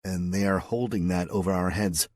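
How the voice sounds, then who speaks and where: A man voicing a quiet, resigned worker who explains himself plainly. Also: low voice, fairly even intonation, moderate pace, no room sound, natural voice. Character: subdued male worker under pressure.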